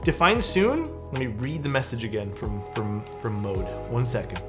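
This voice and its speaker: A young man, chatting casually as a livestream host, excitable and rambling with lots of "like" and "dude". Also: medium pitch, moderate pace. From Canada. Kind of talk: casual livestream chat, reading chat messages. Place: his room at home. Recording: speech over background music. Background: background music.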